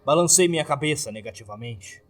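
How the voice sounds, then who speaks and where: A man with a Brazilian accent narrates a scripted horror story in a husky, theatrical voice. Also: on the high side, expressive, fairly quick. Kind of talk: scripted dramatic narration of a creepypasta. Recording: background music under the voice. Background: music.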